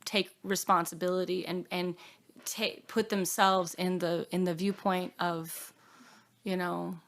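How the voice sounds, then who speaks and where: Woman musician, earnest and halting in interview. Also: lowish voice, flat delivery, fairly bright tone. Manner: earnest, halting, with self-quotes and trailing phrases. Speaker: guest singer-songwriter. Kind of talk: reflective interview chat.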